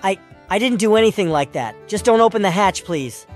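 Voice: mid-range voice, animated, fairly quick, faint music behind, natural voice. A performer voicing an anxious, pleading young boy in a cartoon comic dub, nervous and urgent. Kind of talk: comic dub character acting.

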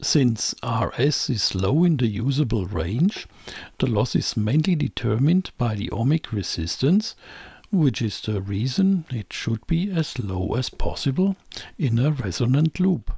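A man reading scripted technical narration as a tutorial presenter, in a measured, explanatory way. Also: medium pitch, very animated, vocal fry, a little mellow, breathy edge, dry recording. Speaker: presenter of an electronics tutorial channel.

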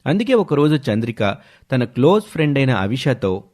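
Male story narrator, medium pitch, reading a scripted tale. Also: big pitch swings, normal speed, a little mellow.

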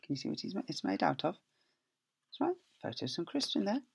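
A man's voice: high-pitched, huge pitch swings, fast, creaky, background noise. Playful middle-aged man reading to children. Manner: playful, stretches key words and makes sound effects. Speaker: school teacher. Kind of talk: reading a picture book aloud to young children. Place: small indoor office. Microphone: laptop webcam at close range.

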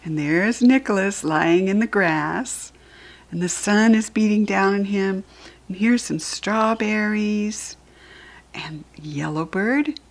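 An American woman reads a children's story aloud at a very leisurely pace. Her voice is medium in pitch, soft and husky with plenty of breath in it. She speaks gently to young listeners, explaining as she goes. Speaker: children's book reader.

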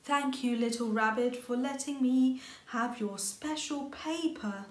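A woman voicing a grateful, effusive mole, exclaiming with drawn-out delight in a storybook reading. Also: sits high, recorded from a distance. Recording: roomy home recording.

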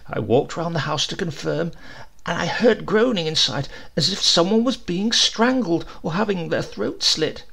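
Man voicing high-pitched, urgent old village postman. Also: lively intonation, moderate pace, creaky, bright, forceful tone, slightly rough, heavily acted. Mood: alarmed. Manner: urgent, bewildered. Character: old rural postman, alarmed, earnest, naive.

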